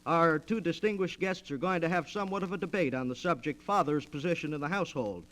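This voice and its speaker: A man's voice: fairly high pitch, fast talker, fairly bright tone. A man hosting a 1940s radio program, making formal, polished introductions on a vintage transcription disc. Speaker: radio host introducing guests. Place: radio studio, around a table.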